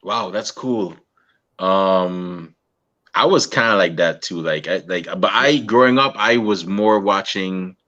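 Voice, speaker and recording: Deep-voiced male interviewer from Guyana, halting and casual, dull muffled recording. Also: fairly bright tone. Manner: halting, frequent restarts and "you know". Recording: dull lo-fi sound.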